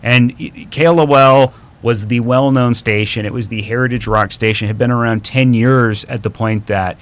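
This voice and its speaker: A man with a medium-pitched voice talks as an interview guest. He tells stories casually and in detail, like an expert sharing what he has researched. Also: steady tone, normal speed. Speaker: interview guest, radio history expert and documentary maker.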